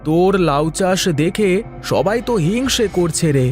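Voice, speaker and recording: A man's voice: sits high, lively intonation, normal speed, a little mellow, soft background music. A man voicing a gossipy, cautioning villager in a cartoon, familiar and insistent in manner. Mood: teasing, warning. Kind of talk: Bengali cartoon voice acting.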